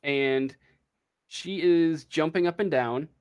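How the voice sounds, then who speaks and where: A man narrating a tabletop game as game master, halting and searching for words as he improvises. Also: fairly high pitch, dull audio, slight background noise.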